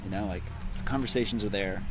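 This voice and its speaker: A young man answering interview questions outdoors in a park, thoughtful and measured. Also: fairly low pitch, music in the background. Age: young adult. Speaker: interview guest, team manager. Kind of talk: casual interview chat.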